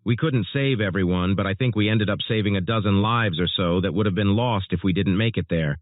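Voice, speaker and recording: A man's voice: lowish voice, brisk, no echo, lightly acted. A man playing a sober, earnest storm chaser giving scripted interview answers. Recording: muffled narrowband phone-like audio.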